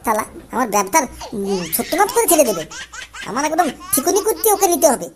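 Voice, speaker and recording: A performer voicing a hot-tempered, boastful young male street food seller in a comic cartoon, with a squeaky, lisping, childlike voice and a scolding manner. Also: very animated, fast talker. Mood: furious, scolding. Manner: ranting, scolding. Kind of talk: comic Bengali cartoon dialogue. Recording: loud music behind the voice. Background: music.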